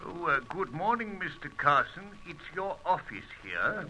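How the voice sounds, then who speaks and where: A man's voice: a little high, big pitch swings, unhurried, sharp tone, breathy edge, full character voice, poor audio. A man playing a deferential, fussy office underling, apologetic and formal, in old-time radio serial style.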